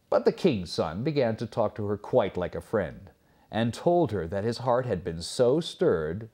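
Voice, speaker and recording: A man reading a fairy tale aloud as a storyteller, expressive and theatrical, with measured phrasing. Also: lowish voice.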